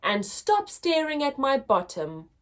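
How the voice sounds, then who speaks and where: A woman's voice: high-pitched, wildly swooping pitch, a little slow, fairly bright tone, pitched above the performer's own voice, heavily acted. Woman voicing a blunt, spirited little pirate boy. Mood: exasperated. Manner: blunt, exclamatory. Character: spirited young boy playing pirates, fed up with questions.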